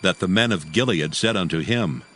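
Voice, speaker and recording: A man's voice: deep voice, lively intonation, normal speed, a bit bright, slight background noise. A man narrating scripture as a formal, solemn dramatic reading.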